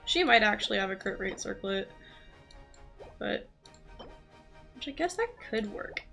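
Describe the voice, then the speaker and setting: A woman giving casual, rambling commentary on a live gaming stream, thinking aloud in an excitable, giggly way. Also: medium pitch, unhurried, gravelly, faint music behind. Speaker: livestreamer playing a role-playing game.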